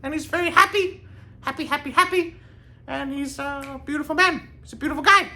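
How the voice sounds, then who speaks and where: A man's voice: very high voice, brisk, sharp tone, pitched above the performer's own voice, exaggerated voice. A man voicing a tall, happy, eccentric one-eyed war veteran in a singsong, lip-smacking voice, in a told story. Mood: cheerful, quirky.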